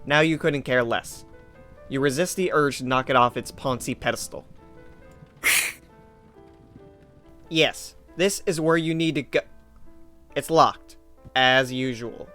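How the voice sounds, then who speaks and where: A man's voice: mid-range voice, slow, long pauses, sharp tone. A man reading scripted second-person story narration as the narrator of a fan drama. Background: music.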